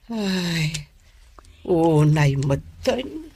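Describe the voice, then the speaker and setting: A woman's voice: low-pitched, sing-song, extremely slow, creaky, warm tone, breathy edge, no room sound, full character voice. An actress playing a concerned, exasperated middle-aged mother in a Filipino radio drama, lamenting and chiding with a Filipino accent. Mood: worried, chiding. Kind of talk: Philippine radio drama acting.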